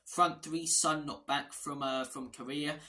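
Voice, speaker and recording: A man with a hoarse voice, hosting a fan channel and chatting through a casual football match preview with a few restarts and digressions. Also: on the high side, steady tone, unhurried, sharp tone.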